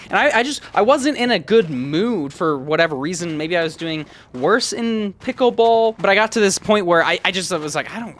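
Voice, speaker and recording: A man's voice: sits high, a bit bright, slight background noise. A man in his twenties telling a personal story casually, in a rambling, conversational way, often quoting himself as he goes. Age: 20s. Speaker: YouTuber.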